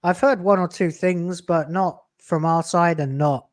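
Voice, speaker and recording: A man's voice: fairly high pitch, fairly even intonation, measured pace, no echo, studio quality. A man in his late thirties, a YouTuber talking in a casual podcast chat, blunt and profane, with emphatic swings in delivery. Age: late 30s. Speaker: YouTuber guest.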